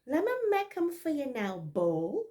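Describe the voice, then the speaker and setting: A woman voicing a nervous housemaid with a Barbadian accent, pitched higher and louder than her own voice. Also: sing-song, a little slow. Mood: eager. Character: nervous female housemaid, jittery and eager.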